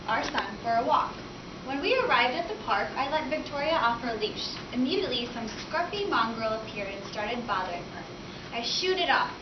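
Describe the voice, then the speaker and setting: A woman voicing a snobbish, bossy middle-aged mother in a storybook read-aloud, with a haughty, scolding way of talking. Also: on the high side. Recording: echoey room from far, noisy, dull.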